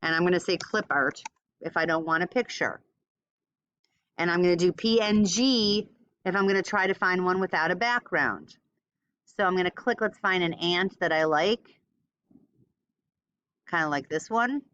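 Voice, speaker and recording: A woman teaching a step-by-step software tutorial informally, thinking aloud as she demonstrates. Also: fairly low pitch, moderate pace, pauses often, clear, resonant tone, no room sound, highs cut off. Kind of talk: casual screen-recorded step-by-step tutorial.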